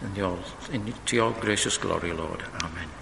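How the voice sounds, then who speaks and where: A husky man, reading scripture and leading prayer in a measured, solemn way. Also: deep voice. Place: church. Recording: echoey church with faint background noise.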